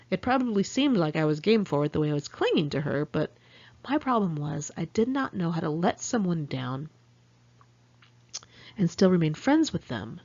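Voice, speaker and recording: A woman's voice: deep voice, a little mellow, highs cut off. An American woman narrating her own memoir in a candid, conversational, salty-tongued way. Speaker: author reading own memoir. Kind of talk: first-person audiobook narration.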